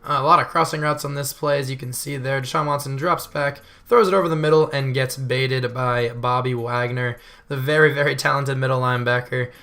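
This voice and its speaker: A young man with a voice still hoarse from illness, giving casual, rambling video game commentary and often correcting himself. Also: medium pitch, fairly even intonation, brisk, bright, forceful tone. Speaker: gaming channel host.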